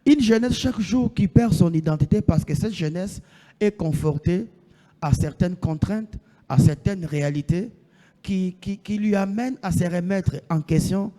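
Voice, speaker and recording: A man's voice: high-pitched, moderate pace, dark tone, in a large hall. A man in his thirties preaching a sermon, asking the congregation questions and answering them himself, repeating his phrases. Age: 30s.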